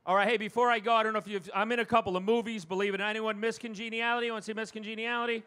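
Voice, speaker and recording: A man's voice: high-pitched, little pitch movement, very fast, sharp tone, clean tone. A middle-aged man with a New York accent performing self-deprecating stand-up comedy in punchy one-liners. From Long Island, New York. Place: live comedy show before an audience.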